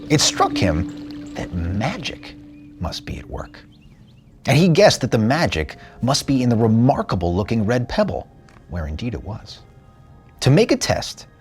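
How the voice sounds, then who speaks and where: Male storybook narrator, expressive and theatrical, very wide pitch swings, faint music underneath. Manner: theatrical, dramatic phrasing and pauses. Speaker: storybook reader.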